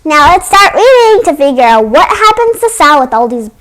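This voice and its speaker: Female read-aloud host for children's storytime, notably high voice, storytelling mixed with informal asides. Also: big pitch swings, normal speed, fairly bright tone.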